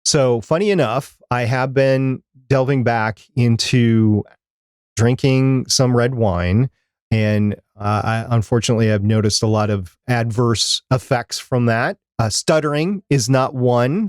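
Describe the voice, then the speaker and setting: A man's voice: medium pitch, slow pace, smooth, dry recording, studio quality. A man chatting casually as co-host of a live podcast.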